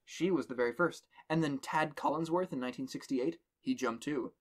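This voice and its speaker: A young man reads a horror story aloud on a casual podcast, his voice sitting a little high. He reads plainly, then breaks off to joke with his friends. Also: a little fast. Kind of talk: casual reading and banter with friends.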